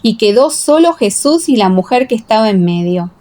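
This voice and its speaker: A woman's voice: fairly high pitch, sing-song, normal speed, mellow. A woman reading scripted audiobook narration. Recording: echoey, long reverb.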